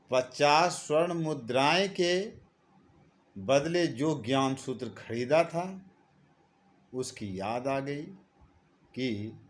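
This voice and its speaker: A man narrating a scripted moral story as a storyteller, deliberately, phrase by phrase with frequent pauses. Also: medium pitch.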